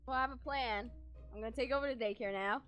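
A woman's voice: fairly high pitch, quick pace, a bit bright, soft background music, natural voice. A woman playing a sassy, childlike girl in an improvised Minecraft roleplay for children, with a playful manner. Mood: bossy. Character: bossy, sassy girl gone evil at a daycare.

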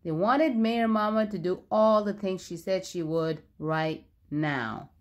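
A woman narrating a children's storybook aloud, expressively and with clear phrasing for young listeners. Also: on the low side, takes it slowly.

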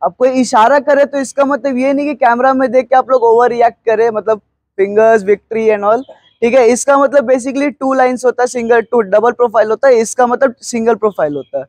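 High-voiced man briefing a riding group. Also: moderate pace, darkish tone, no echo. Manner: instructive, checks in with "theek hai". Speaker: ride marshal briefing riders.

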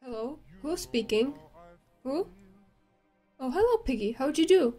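Woman doing a posh young heroine, highish voice, sweet but cool, kids rhyme over music. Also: big pitch swings, a little slow, dark tone, exaggerated voice. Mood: breezy, sweet. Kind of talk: children's verse storytelling with music.

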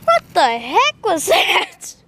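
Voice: extremely high pitch, wildly swooping pitch, takes it slowly, noisy background. A child or young teen chatting casually as a vlogger outdoors, recorded on a handheld device. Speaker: vlogger trying out a voice changer app. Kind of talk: casual chat. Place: outdoors in a yard.

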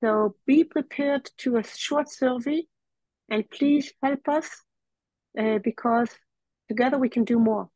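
A woman's voice: a little high, a little slow, warm tone, no room sound, dull audio. A woman co-hosting an online meeting, making brief, direct announcements over a video call. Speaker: meeting co-host.

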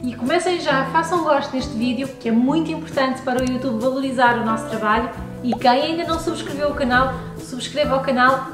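A woman in her thirties with a European Portuguese accent, hosting a cooking tutorial and talking directly to her viewers in a friendly, instructive way. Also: high-pitched. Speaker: cooking channel host. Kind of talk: recipe tutorial voice-over. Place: home room with bookshelves.

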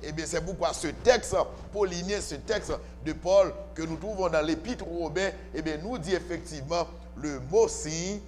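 A man's voice: on the high side, normal speed, hardly any pauses, a little mellow. A man preaching to a congregation as pastor, emphatic and often asking questions, on a handheld mic in a church. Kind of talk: sermon. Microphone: handheld microphone, filmed from far camera. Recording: church hall reverb with faint music.

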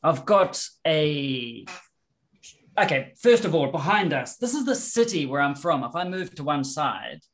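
A man in his forties with a softened New Zealand accent, a guest chatting casually on a video call through a headset, explaining in an animated way. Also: on the high side, measured pace.

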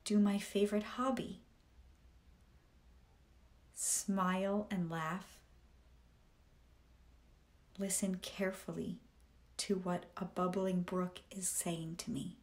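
A woman reading scripted guided meditation affirmations in a deliberate, measured way, in short phrases with pauses. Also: lowish voice, flat delivery, a little mellow.

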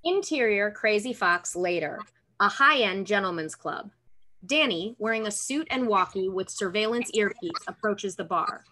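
A woman's voice: mid-range voice, animated, moderate pace, pushed and bright, slight background noise, rough recording. A young woman narrating scripted stage directions for a table read over a video call. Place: room at home, on a video call.

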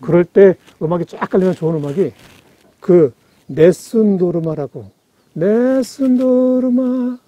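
A man's voice: high-pitched, a little slow, warm tone, no room sound, dull audio. A man in his forties chatting casually outdoors, eagerly explaining at length with lots of fillers, picked up by a camera set back from him. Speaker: music enthusiast guest. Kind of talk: casual chat. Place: outdoors in a rural mountain yard. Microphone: far camera.

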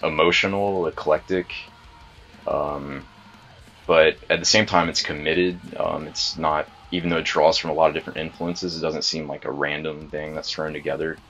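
Very deep, forceful man from Atlanta. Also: flat delivery, muffled recording, faint music behind. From Atlanta, USA. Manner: measured, frequent "um". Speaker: band guitarist. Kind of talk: casual interview talk. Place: music studio, indoors.

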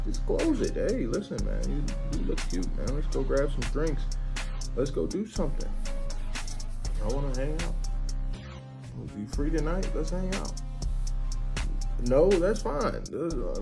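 A man's voice: a little high, vocal fry. A man in his thirties hosting a reaction video, critiquing and coaching with a mocking tone, recorded indoors on a couch. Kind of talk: blunt critiquing commentary.

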